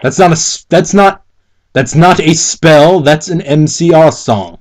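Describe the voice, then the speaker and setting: A man with a slightly high voice does a casual, mocking dramatic reading. He stumbles over the text, breaks into commentary and swears in a giggly, exasperated way. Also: measured pace, a little mellow. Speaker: co-host reading and mocking fan fiction.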